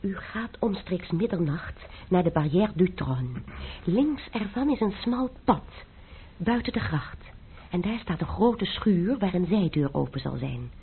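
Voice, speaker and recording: A woman's voice: fairly low pitch, big pitch swings, measured pace, slight background noise. An actress playing a secretive young French lady who gives a man urgent, precise orders in a radio drama, on an old tinny recording.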